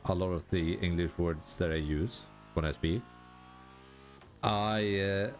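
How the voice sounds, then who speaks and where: Man, Swedish accent, deep voice, casual gaming chat, stumbling self-corrections, soft music underneath. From Sweden. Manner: rambling, halting, self-correcting, laughs at himself. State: tired. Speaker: gaming YouTuber. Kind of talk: casual commentary while gaming.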